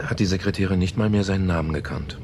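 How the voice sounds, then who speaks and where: A man telling a story in a radio play, with a fairly low voice. He is earnest and patient, hedging and halting as he goes, in a natural conversational style. There is some noise on the recording. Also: darkish tone. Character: man haltingly retelling a novel to a sceptical woman.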